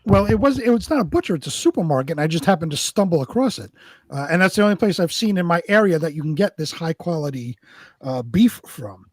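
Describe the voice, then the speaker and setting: A man co-hosting a show in casual chat, telling stories in a rambling, halting way. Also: fairly high pitch, big pitch swings, darkish tone, dry recording. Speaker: co-host of a live online show.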